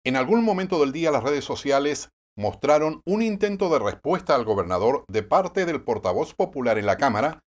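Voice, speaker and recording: A man with a Puerto Rican accent narrating a news commentary review of the year, in a wry, sardonic editorial tone. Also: mid-range voice. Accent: Puerto Rican Spanish.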